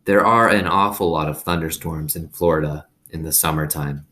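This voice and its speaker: A mild, thoughtful preacher dad with a low voice, played by a man reading a storybook. He speaks in a measured way, as if patiently explaining. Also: pushed and bright. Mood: calm, reassuring. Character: girl's father, a mild, thoughtful adult preacher.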